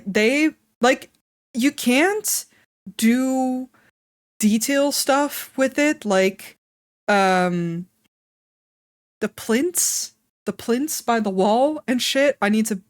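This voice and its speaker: Wheezy, slow-talking woman streamer. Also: on the high side, no echo, clean studio sound. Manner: chatty, swears casually, laughs often. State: slightly congested and wheezy. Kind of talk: casual live-stream chat.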